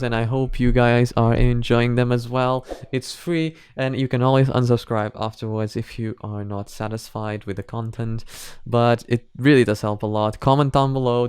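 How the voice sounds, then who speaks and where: Casual man with a clear, smooth voice. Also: mid-range voice, darkish tone, no echo. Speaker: reaction video host. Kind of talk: casual reaction commentary to camera.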